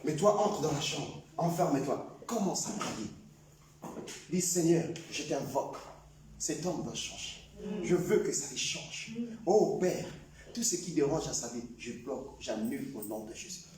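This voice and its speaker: A male pastor preaching a sermon, often shouting his points and acting out prayers. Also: fairly high pitch, animated, a little slow, a crowd in the background. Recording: echoey hall, voice from far off.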